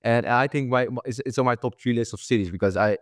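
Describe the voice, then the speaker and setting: A man's voice: mid-range voice, quick pace, no echo, studio quality. A young man who grew up in the Netherlands, hosting a casual interview podcast, with loose talk full of repeated words and "bro". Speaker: podcast host.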